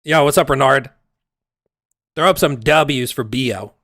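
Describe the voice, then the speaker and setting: A man streaming and ranting casually, heated and exasperated, swearing often, his voice going hoarse. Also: medium pitch, unhurried, a bit bright, no echo, studio quality. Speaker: game streamer. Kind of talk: casual livestream rant.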